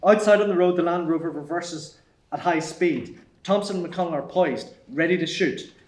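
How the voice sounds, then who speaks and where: Higher-pitched male narrator, echoey room. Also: normal speed, darkish tone. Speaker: narrator reading stage directions. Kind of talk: scripted narration at a screenplay table read.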